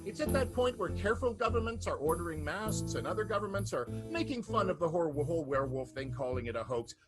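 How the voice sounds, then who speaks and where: High-pitched male radio narrator over music. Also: expressive, fast talker. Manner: theatrical announcer delivery, wry. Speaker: narrator and host. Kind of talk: comic radio show narration.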